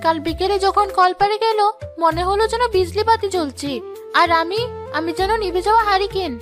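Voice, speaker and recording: A very high, almost childlike voice for a vain cartoon village wife , acted out by a woman. She complains with whiny melodrama, fretting over herself. Faint music sits behind her. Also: brisk. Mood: resentful, sulky. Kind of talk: animated moral-story drama acting.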